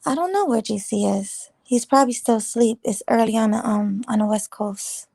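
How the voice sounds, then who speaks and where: A woman chats loosely on a morning livestream, greeting viewers and riffing on their comments. Her voice is a touch raspy from the early hour, and it sits close and dry with no room around it. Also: a little high, moderate pace, darkish tone, no room sound. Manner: chatty, rambling, teasing viewers. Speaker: livestream host. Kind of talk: casual live chat reading comments.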